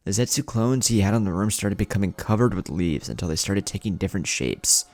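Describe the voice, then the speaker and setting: A man's voice: sits low, expressive, a little fast, a little mellow. A man reading a scripted fan-fiction story as its narrator, with music behind the voice. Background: background music.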